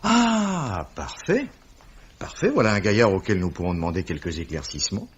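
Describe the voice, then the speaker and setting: An actor playing a middle-aged police commissioner, formal, urbane and probing, in 1950s French radio drama style. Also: male voice, lowish voice, big pitch swings, normal speed, slight background noise. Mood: inquisitive. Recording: dull, slightly rough old radio recording.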